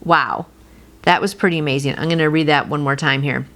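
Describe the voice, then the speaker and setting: Lower-pitched woman giving an earnest devotional. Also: fairly even intonation, a little fast, fairly bright tone. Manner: earnest, encouraging, personal direct address. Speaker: devotional host and reader. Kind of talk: devotional reading with informal encouragement.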